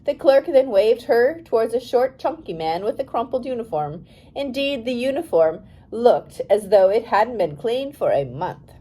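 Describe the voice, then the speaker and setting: A woman reads a children's biography aloud in a fairly high yet mellow voice. Her delivery is careful and expressive, with plenty of rise and fall across each sentence. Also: slightly rough. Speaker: audiobook reader. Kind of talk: scripted narration of a biography for young readers.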